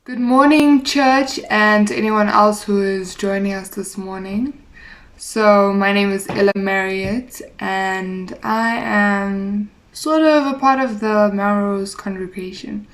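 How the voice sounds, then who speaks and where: Young woman sermon leader, unhurried, friendly and a bit tentative. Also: mid-range voice, fairly even intonation. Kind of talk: informal sermon introduction.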